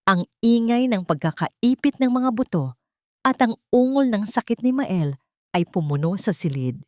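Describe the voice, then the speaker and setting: A woman's voice: a little high, animated, moderate pace, darkish tone, no room sound, studio quality. A woman narrating a scripted audio drama in a dramatic storytelling style.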